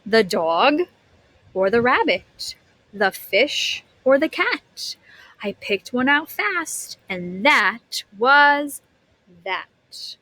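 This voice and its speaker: Female kindergarten teacher, higher voice, unhurried expressive storytime delivery with drawn-out words, dry close sound. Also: dry recording, rough recording. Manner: expressive, drawn-out words, gasps, questions to listeners. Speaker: kindergarten teacher reading aloud. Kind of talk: animated read-aloud to young children.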